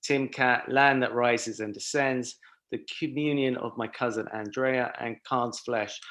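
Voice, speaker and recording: A man's voice: mid-range voice, a bit bright. A man hosting a film festival panel over a video call, speaking in a friendly way with frequent fillers.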